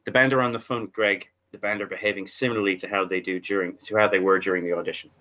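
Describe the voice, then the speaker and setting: Male narrator for screenplay read-through: deep, forward tone, somewhat dull recording. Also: fairly even intonation, rapid-fire, bright, forceful tone. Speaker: narrator reading stage directions at a table read. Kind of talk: reading screenplay directions aloud.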